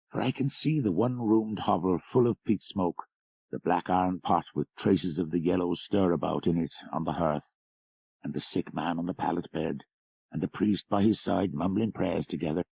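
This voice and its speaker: A man's voice: deep voice, moderate pace, gravelly, darkish tone, husky, no echo. A man reading a literary story as scripted audiobook narration.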